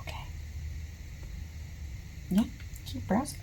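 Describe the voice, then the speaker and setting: A woman speaking softly and sometimes in a whispery breath, gently reassuring, in an ASMR roleplay as a friendly bookstore clerk. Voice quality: soft, often dropping into whispery breathiness. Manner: gentle, unhurried, reassuring customer-service talk. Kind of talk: soft-spoken ASMR roleplay.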